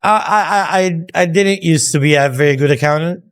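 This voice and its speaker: A man's voice: a little high, brisk, clean tone, faint music behind. A man in his forties whose first language is Arabic, hosting a casual interview in English, often stammering and restarting his words. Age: 40s. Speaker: streamer and host.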